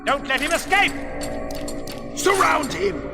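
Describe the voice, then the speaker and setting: An actor playing an alarmed, aggressive fortress guard in a video game, shouting urgent commands. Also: male voice, very high voice, expressive, takes it slowly, music in the background, full character voice. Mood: alarmed, commanding.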